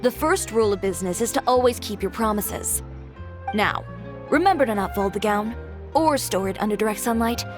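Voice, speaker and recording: A woman's voice: medium pitch, animated, brisk, lightly acted. Woman playing a cool, blunt young businesswoman. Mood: firm, assured. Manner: cool, curt, self-assured. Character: confident, blunt, businesslike young female boutique owner. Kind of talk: video game voice acting. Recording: music behind.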